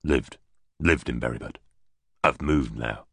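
A man's voice: rumbling low, a little slow, creaky, a bit bright, no room sound, clean studio sound, voice pushed down, full character voice. A man voicing a tough ex-army psychic in an audiobook, curt and menacing, with occasional swearing. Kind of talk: audiobook character voice.

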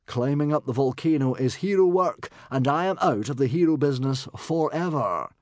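A man's voice: medium pitch, expressive, normal speed, darkish tone, no echo, voice pushed up, natural voice. A man voicing a reluctant, world-weary retired hero and bodyguard in a children's audiobook.

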